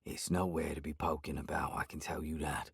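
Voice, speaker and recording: A man voicing a laconic, nearsighted boardwalk local who speaks in short, low, hushed phrases. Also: moderate pace, no room sound, clean studio sound. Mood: ominous.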